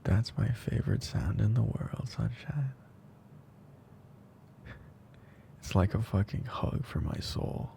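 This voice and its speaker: A man playing a tender, teasing best friend confessing love in an intimate ASMR roleplay, speaking softly and slowly with breathy drifts into whispering. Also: on the low side, a little hiss or hum. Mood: tender, earnest.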